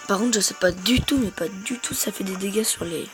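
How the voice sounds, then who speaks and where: A man's voice: high-pitched, a little fast, over music. A man with a head cold giving rambling, hesitant gameplay commentary to his viewers. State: has a head cold, congested. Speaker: gamer.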